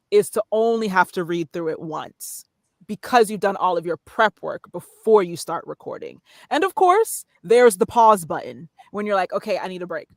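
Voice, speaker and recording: A woman's voice: on the low side, no echo, clean studio sound. A woman guest on a podcast, chatting casually about narration work and acting out little examples as she explains. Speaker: guest, voiceover artist. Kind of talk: casual interview talk.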